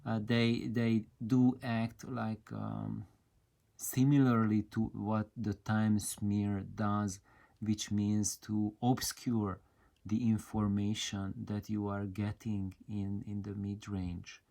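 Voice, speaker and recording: A man giving an unscripted technical explanation as a presenter, with a hesitant, halting delivery full of drawn-out fillers. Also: on the low side, level tone, gravelly, mellow. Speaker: audio hobbyist presenter.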